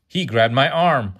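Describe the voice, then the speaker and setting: A man's voice: medium pitch, fairly bright tone, breathy edge. A man reading a children's storybook aloud to a child, stumbling over words now and then, correcting himself and adding chatty asides.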